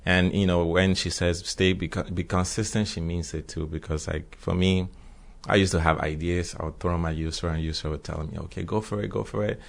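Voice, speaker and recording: A man's voice: sits low, fairly even intonation, fairly quick, vocal fry. A young man from Cameroon, co-hosting a podcast in easygoing casual conversation, often repeating himself and using fillers. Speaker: podcast co-host.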